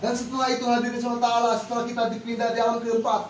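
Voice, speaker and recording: Fervent high-voiced preacher in echoing hall. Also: male voice, monotone, rapid-fire, background noise. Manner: fervent, rhythmic, repeats formulaic phrases. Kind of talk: Islamic sermon to a congregation. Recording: echoey hall recorded from far off.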